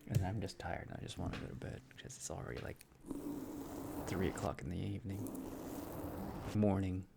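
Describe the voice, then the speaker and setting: A man's voice: deep voice, steady tone, normal speed, very noisy. A man livestreaming casually from a room in a shared house, rambling with frequent restarts and fillers. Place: room in a shared house with thin, echoey walls. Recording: clear music playing underneath.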